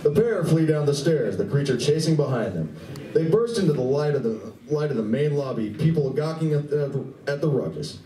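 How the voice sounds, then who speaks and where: A man's voice: on the high side, animated, normal speed, warm tone, far from the mic. A man giving dramatic scripted narration for a live radio play. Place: live stage reading at a convention. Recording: echoey room, picked up from afar.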